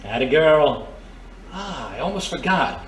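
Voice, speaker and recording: Roguish thief played by a man, lively and lighter-pitched. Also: measured pace. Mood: playful. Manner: casual, slangy, teasing. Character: roguish, easygoing male thief with a soft spot. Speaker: voice actor in audio drama. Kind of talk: audio drama acting. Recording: roomy, distant mic, faint noise.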